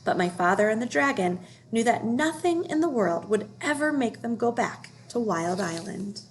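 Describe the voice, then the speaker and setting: A woman reading a children's story aloud as an expressive storyteller. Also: fairly high pitch, moderate pace, low-quality recording.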